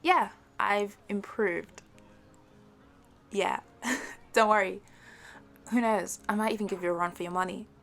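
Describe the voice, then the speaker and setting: A woman acts out a sporty young woman in an intimate one-sided audio roleplay. She talks to a childhood best friend in a playful, teasing way, competitive but a little halting. Her pitch sits in the middle range for a woman. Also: creaky, a bit bright. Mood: playful, challenging. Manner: playful, teasing, with hesitant halting starts and giggles.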